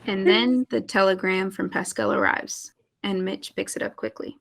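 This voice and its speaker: A woman narrates stage directions at a table read. Her voice is mid-pitched and heavily creaky, with a great deal of vocal fry. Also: steady tone, moderate pace, slight background noise, low-quality recording.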